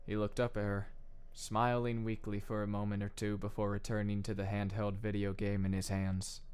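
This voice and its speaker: A man reading first-person scripted audiobook narration in a low-key manner. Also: completely flat delivery, normal speed, a little mellow, clear, resonant tone.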